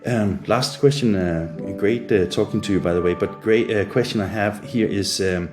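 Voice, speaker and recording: A man with a Danish accent, a native Danish speaker, interviewing a guest remotely, halting with many filler uhs. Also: lowish voice, over music. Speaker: interviewer, trade media editor.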